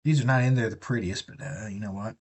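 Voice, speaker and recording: A man's voice: on the low side, quick pace, slightly rough. A man chatting casually on a live stream, rambling and profane as he talks. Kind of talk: casual rambling chat while building a kit.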